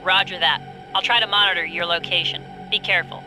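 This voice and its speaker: A woman's voice: fairly low pitch, steady tone, quick pace, music in the background, natural voice, low-quality recording. A woman playing a resolute, capable ex-agent and tech partner in a video game, direct and matter-of-fact. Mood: focused. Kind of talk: video game cutscene acting.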